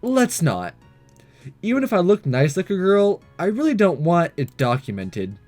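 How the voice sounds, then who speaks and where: A man's voice: high voice, wildly swooping pitch, moderate pace, darkish tone. Guy reading as nervous awkward teen boy from a visual novel, hesitant, lighter voice, music behind. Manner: hesitant, flustered.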